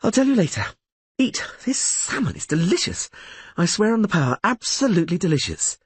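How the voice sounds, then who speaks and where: A man voicing a proud, quick-tongued sorceress in an audiobook reading, in a husky voice with a teasing, commanding manner. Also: fairly high pitch, sing-song, no echo, pitched above the performer's own voice, heavily acted. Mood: playful.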